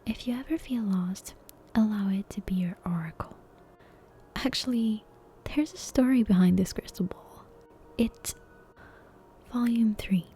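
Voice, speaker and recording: Whispering woman reading an ASMR bedtime story. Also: mid-range voice. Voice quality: breathy whisper. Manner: soft, unhurried, hushed. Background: soft game soundtrack ambience.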